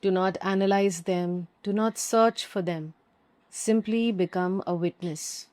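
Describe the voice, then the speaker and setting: A woman teaching yoga nidra, giving calm, soothing guided meditation instructions with long pauses. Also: medium pitch.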